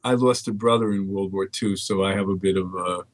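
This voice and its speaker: A man's voice: low voice, steady tone, fairly quick, no echo. An older man from the Netherlands, a market research guest, chatting casually and reading long lists of dates aloud. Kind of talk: casual phone interview chat.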